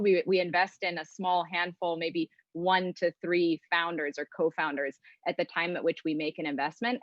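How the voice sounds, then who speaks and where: A woman's voice: lowish voice, no echo, dull audio. A woman speaking as an investor on a panel, explaining her points thoughtfully in a conversational way. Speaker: venture capital investor, panel guest.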